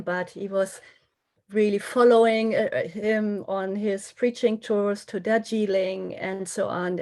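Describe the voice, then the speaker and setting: Woman guest talking slow and thoughtful, restarts a lot, middle pitch voice. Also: a little mellow. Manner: thoughtful, searching for words, restarts phrases. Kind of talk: reflective podcast talk.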